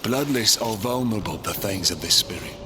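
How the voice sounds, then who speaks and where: An actor playing a proud, bitter, battle-worn undead warrior in a video game, speaking in a dramatic, brooding way. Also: male voice, fairly low pitch, lively intonation, brisk, background noise, lightly acted. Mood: grave. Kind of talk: video game cutscene acting. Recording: loud cinematic music behind.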